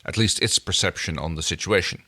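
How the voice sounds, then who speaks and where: Man, low-pitched voice, podcast co-host, casual plot recap, hesitant, agreeable. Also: a little fast, sharp tone.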